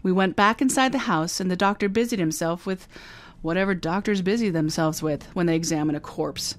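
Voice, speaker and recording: An actress playing a wry, confident private detective who narrates a radio drama, speaking briskly. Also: female voice, lowish voice, over music, lightly acted. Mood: wry narration. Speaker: narrator and lead.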